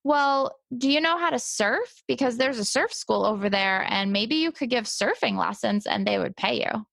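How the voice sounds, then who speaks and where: Female host in improv sketch: higher voice, very animated, conversational and bemused, the straight role. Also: normal speed, gravelly, dry recording. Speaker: podcast host. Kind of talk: improvised comedy role-play as herself.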